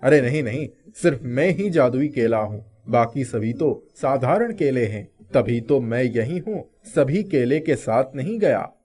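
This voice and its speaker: A man's voice: mid-range voice, lively intonation, measured pace, hardly any pauses, warm tone, natural voice. A man voicing a talking magic banana in a children's story, secretive and knowing, with an emphatic, teasing manner. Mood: secretive, assured.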